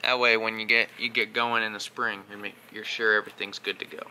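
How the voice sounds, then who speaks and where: A young man talks through his maintenance chores into a close action camera, his voice bright and a little tense. He speaks in a loose, unscripted way, stopping often for uh and um. A faint hum of noise sits behind him in the workshop. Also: lowish voice, fairly quick, poor audio. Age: young adult. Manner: relaxed, talks while working, frequent uh and um. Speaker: vlogger doing equipment maintenance. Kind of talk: casual how-to vlog. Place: workshop garage, indoors.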